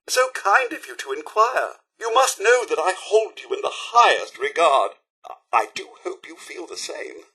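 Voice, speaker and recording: An actor voicing a polite, fussy, devoted robot butler with a British accent and formal, theatrical delivery in a video game. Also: male voice, sits high, pushed and bright, slightly rough, full character voice, low-quality recording. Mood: shy, fond. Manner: formal, theatrical, deferential, primly articulated. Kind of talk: scripted video game companion dialogue.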